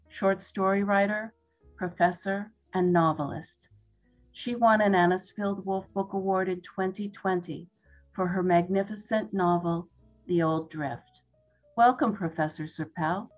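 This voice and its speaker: A woman podcast host reading a formal, measured introduction. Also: mid-range voice. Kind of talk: scripted introduction. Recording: dull lo-fi sound.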